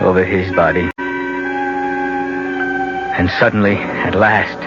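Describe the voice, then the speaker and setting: A man's voice: sits low, steady tone, slow pace. An actor playing a desperate, scheming young adult son in an old radio drama, both speaking in scenes and narrating his confession. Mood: calculating narration. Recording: muffled old radio, music behind.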